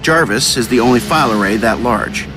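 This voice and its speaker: A man's voice: mid-range voice, brisk, lightly acted. Man playing a cocky wisecracking armoured inventor. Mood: confident, wry. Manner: breezy, sardonic. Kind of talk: scripted video game voice acting. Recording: voice under loud game music.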